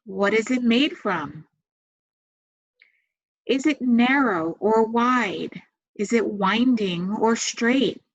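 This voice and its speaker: A woman leading a guided meditation, giving gentle, soothing instructions with long pauses. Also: on the high side, expressive. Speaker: meditation guide and massage therapist. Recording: dull, muffled lo-fi sound.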